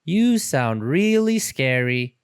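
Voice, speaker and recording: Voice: medium pitch, big pitch swings, slow pace, clean tone, no room sound, studio quality. A storybook reader voicing an earnest, eager and curious young boy turtle with exclamatory delivery. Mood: friendly. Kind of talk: children's storybook character voice.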